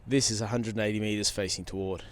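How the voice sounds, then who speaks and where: A man's voice: on the low side, steady tone, fast talker. A man talking casually to camera as a product reviewer, informal and repeating himself, speaking into a handheld wireless microphone. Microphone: handheld wireless interview microphone.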